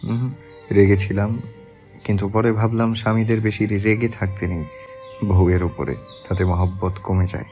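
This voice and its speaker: A man playing a tender young husband in a romantic audio story, speaking softly and gently. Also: little pitch movement, normal speed, long pauses, lightly acted. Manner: soft, gentle, low-voiced. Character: tender young husband, soft-spoken and teasing. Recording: soft background music.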